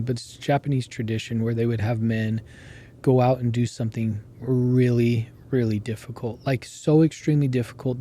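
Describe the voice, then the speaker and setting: A man hosting a podcast, chatting casually with a hoarse voice that keeps going out and telling stories in an animated way. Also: mid-range voice. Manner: animated storyteller who laughs while telling. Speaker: podcast host. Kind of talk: casual interview chat.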